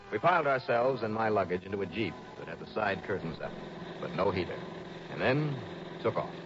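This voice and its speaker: An American man playing a brisk, wry insurance investigator in a 1960s radio detective drama, with clipped, punchy delivery. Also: on the low side. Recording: dull vintage radio recording with music.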